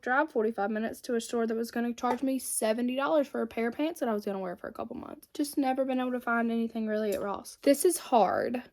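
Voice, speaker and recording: A woman, chatting casually and rambling while she does her makeup. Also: on the high side. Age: 20s. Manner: chatty and rambling, many fillers. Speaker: beauty vlogger.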